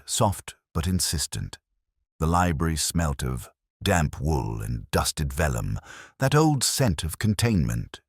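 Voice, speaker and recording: A man narrates a first-person detective tale in an extremely deep voice. His delivery is measured and literary, with a reflective manner and lively intonation. The sound is dry, free of room echo. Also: husky.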